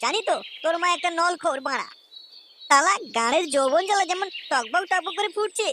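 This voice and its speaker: A man playing a crude, hot-tempered young son-in-law in a comic cartoon, swearing and grumbling sarcastically in a pitched-up voice. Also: expressive, fairly quick, sharp tone, exaggerated voice. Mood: angry, crude. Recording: noisy track with clicks and chirping birds.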